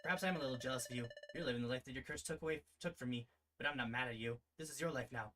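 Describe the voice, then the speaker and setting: A man voicing a stoic, reserved female character in a terse, measured, deadpan way while reading a visual novel. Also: medium pitch, background noise, natural voice. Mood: solemn. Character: stoic, terse, reserved woman burdened by order.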